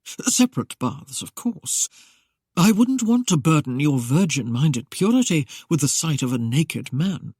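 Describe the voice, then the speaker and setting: Man voicing a roguish young man, teasing, mock-innocent, slightly husky, dry close read. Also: fairly high pitch, big pitch swings, a little slow, darkish tone, no room sound, full character voice. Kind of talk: audiobook character voice.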